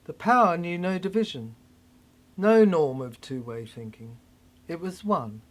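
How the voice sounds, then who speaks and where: A woman's voice: fairly low pitch, animated, measured pace. A woman performing a theatrical dramatic monologue as an unhinged mad scientist in an asylum, rambling obsessively in repetitive fragments. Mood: brooding, subdued. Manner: stammering, repetitive, fragmented delivery.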